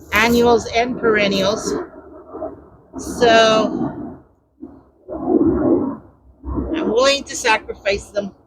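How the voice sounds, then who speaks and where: A woman chatting loudly while she works in her garden, explaining her steps as she digs. Her mic is clipped to a nearby trellis, and she rambles and hunts for words. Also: on the high side, low-quality recording. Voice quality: loud voice. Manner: talks while digging in the garden. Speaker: gardening vlogger. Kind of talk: casual how-to narration while working. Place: outdoor garden.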